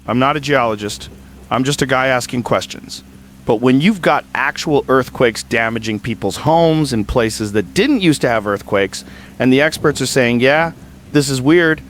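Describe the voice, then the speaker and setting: Man acting male talk show host, conversational, inquisitive everyman, medium pitch, audio drama, faint ambience. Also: a little hiss or hum, lightly acted. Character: curious, open-minded male podcast host, everyman questioner. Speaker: podcast host.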